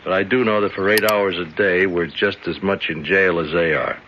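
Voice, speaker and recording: An actor playing a fair-minded older prison guard, folksy and advising, in a radio drama. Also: male voice, deep voice, fairly even intonation, normal speed, noisy background, natural voice. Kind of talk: 1970s radio drama acting. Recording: dull old radio broadcast.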